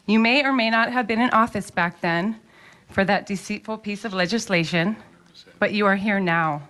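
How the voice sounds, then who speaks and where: A woman testifying before lawmakers at a public hearing. Her delivery is pointed and urgent, with a pleading edge. Her voice sits at a middle pitch. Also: moderate pace, a bit bright.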